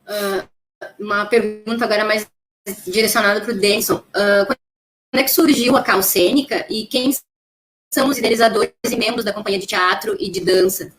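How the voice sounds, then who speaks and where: A woman's voice: mid-range voice, normal speed, fairly bright tone, rough recording. A woman, acting as interviewer and putting prepared questions.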